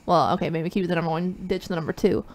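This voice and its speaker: A woman with a small, light, high voice, chatting in an opinionated way as a podcast co-host. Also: fairly even intonation, fast. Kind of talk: casual chat.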